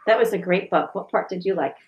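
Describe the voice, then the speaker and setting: A woman reading and chatting for a school audience in an expressive, measured storybook style. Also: fairly low pitch, dull audio. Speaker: school staff member reading aloud. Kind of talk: children's storybook read-aloud and informal discussion.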